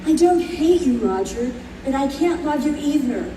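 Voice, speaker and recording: A woman's voice: high voice, lively intonation, moderate pace. A woman playing a sad, bewildered reincarnated soul in an old-time radio play, recorded live in a roomy space. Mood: sad, resigned. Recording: roomy live stage recording, distant, faint noise.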